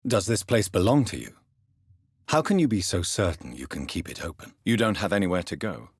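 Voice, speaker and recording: An actor playing a grave, courteous British gentleman doctor turned vampire in a video game, speaking formally and with intensity in a husky voice. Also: deep voice, big pitch swings, no room sound, studio quality, exaggerated voice. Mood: courteous, measured. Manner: formal, measured, articulate, intense. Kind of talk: video game voice acting, scripted dramatic dialogue.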